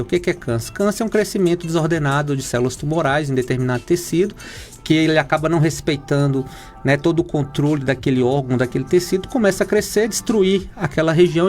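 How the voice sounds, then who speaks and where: A man, an oncologist from Minas Gerais with a Brazilian accent, explains things in a halting way, full of fillers and restarts. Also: a little high, darkish tone. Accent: Brazilian Portuguese, Minas Gerais. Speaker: oncologist guest. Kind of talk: explanatory interview talk.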